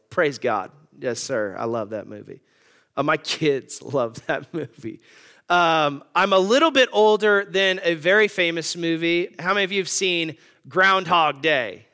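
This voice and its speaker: A man's voice: on the high side, pushed and bright, clear, resonant tone. A man in his thirties preaching a sermon on marriage in a conversational, joking way, asking the congregation questions. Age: 30s. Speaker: pastor.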